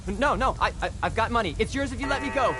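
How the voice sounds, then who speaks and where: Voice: medium pitch, expressive, fast talker, pushed and bright, in a large hall, slight background noise. An actor playing a frightened civilian in a video game, stammering and pleading. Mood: panicked pleading.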